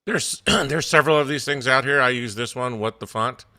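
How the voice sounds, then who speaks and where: A man's voice: mid-range voice, normal speed, pushed and bright, no echo. A man on a panel casually making a recommendation.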